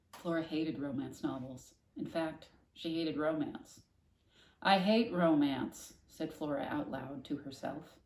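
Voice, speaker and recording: A woman reading a children's book aloud, gently explaining things to young listeners between passages. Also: sits low. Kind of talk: read-aloud storytelling with casual asides. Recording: echoey room.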